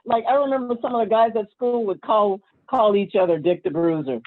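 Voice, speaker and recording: A woman's voice: mid-range voice, fairly quick, phone-quality sound. A woman in a casual interview, reminiscing about her television work and chuckling at times. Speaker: interviewed former TV co-host and actor.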